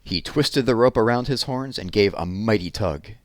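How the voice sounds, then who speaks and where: A man's voice: on the low side, lively intonation, moderate pace, no echo, studio quality. A man narrating a children's picture book as a read-aloud. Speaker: storybook reader.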